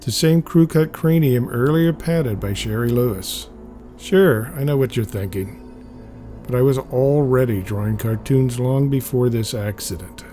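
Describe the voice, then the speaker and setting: A man's voice: mid-range voice, measured pace, mellow, soft background music. A man giving a scripted narration, reading a memoir-style comic aloud.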